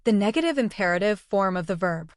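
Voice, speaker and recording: A woman teaching a language lesson, explaining phrases in an even, scripted way. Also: medium pitch, fast talker, no room sound, clean studio sound.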